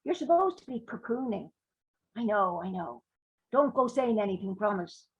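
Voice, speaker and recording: A woman's voice: medium pitch, big pitch swings, normal speed, slightly rough, dull audio. A woman voicing a chirpy, cheeky old grandmother who coaxes and teases her grandson. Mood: coaxing, teasing. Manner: coaxing, teasing.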